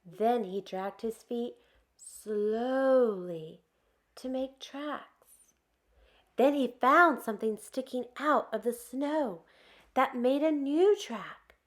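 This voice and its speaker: A woman reading aloud to young children as a storytime host, expressive, stretching out key words and putting questions to her listeners. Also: on the high side, takes it slowly.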